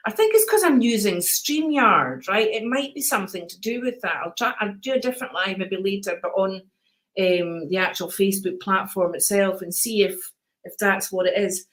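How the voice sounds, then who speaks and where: A woman's voice: medium pitch, normal speed. Soothing Scottish woman, chatty live host. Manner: chatty, affectionate, calls viewers darling and sweetheart; calm, soothing voice. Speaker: host of live card readings. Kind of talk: casual live-stream reading and chat.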